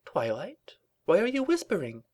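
A man's voice: sits high, wildly swooping pitch, moderate pace, darkish tone, pitched above the performer's own voice. A man voicing a polite, genteel female pony, an airy and slightly hesitant colleague in a story reading. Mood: puzzled.

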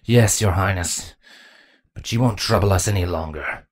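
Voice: medium pitch, normal speed, pitched above the performer's own voice, lightly acted. A storyteller playing a blunt, battle-weary male changeling captain in a fantasy story. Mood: venomous.

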